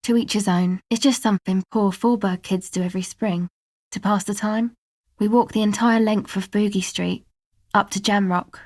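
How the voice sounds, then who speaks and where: Actress doing a young rave crew girl for a video game, slangy n offhand, laid back, mid pitch, no room sound. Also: steady tone, moderate pace, a little mellow, no echo, natural voice. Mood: dry, unbothered. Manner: casual, hesitant, dry, slangy. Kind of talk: video game character dialogue.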